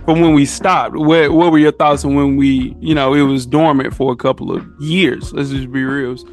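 A man's voice: medium pitch, fairly even intonation, normal speed. An American man chatting casually on a podcast, informal and slangy, often repeating phrases for emphasis. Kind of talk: casual chat.

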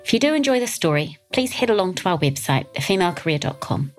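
A woman's voice: lowish voice, sing-song, quick pace, soft background music. Encouraging New woman hosting. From New Zealand. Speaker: podcast host, career coach. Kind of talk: scripted podcast intro and interview questions.

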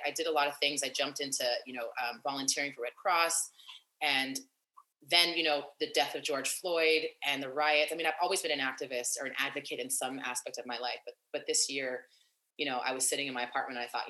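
A young woman activist talking in an interview, animated and long-winded with many fillers and restarts. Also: a bass voice, echoey room, rough recording. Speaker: activist and nonprofit founder. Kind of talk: casual interview conversation.

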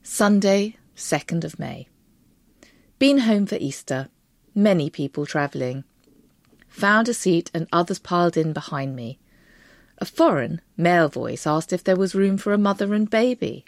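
A woman's voice: lowish voice, expressive, a little slow, dry recording. A woman reading as a young wartime teacher, observant, in short note-like diary sentences. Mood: observant, composed.

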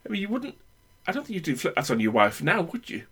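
A man's voice: fairly high pitch, huge pitch swings, quick pace, sharp tone, breathy edge. A man guesting on a podcast, telling meandering family anecdotes in casual chat and correcting himself as he goes. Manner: meandering, self-correcting storyteller.

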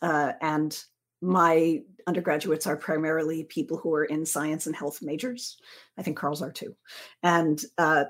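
A woman's voice: low voice, fairly even intonation, measured pace, a bit bright. A woman speaking reflectively and conversationally as a panelist and teacher. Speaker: panelist, science writing teacher.

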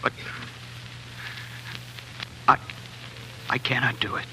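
An actor playing an anguished, doubting king, halting and pained, in a 1940s radio drama. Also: bright, forceful tone, soft background music. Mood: anguished, disbelieving. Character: anguished, doubting king torn over his wife. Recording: noisy old 1940s radio recording.

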